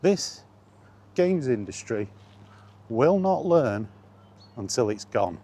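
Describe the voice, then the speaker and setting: A man's voice: medium pitch, very animated, takes it slowly, dark tone. A man chatting casually and bluntly in a rambling, resigned way, as a vlogger walking outdoors. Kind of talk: casual walking vlog commentary on video games.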